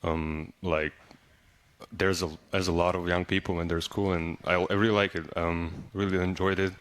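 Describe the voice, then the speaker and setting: A young man, a native Serbian speaker, talking in a relaxed interview as a college basketball player, with lots of fillers and restarts. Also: low voice, normal speed, no echo. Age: young adult. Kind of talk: casual interview.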